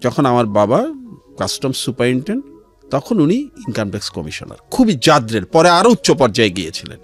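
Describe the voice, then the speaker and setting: A middle-aged man with a Bangladeshi accent telling his life story as an interview guest, in a measured way with pauses and some English words mixed in. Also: medium pitch, wildly swooping pitch. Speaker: interview guest, civil servant. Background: background music.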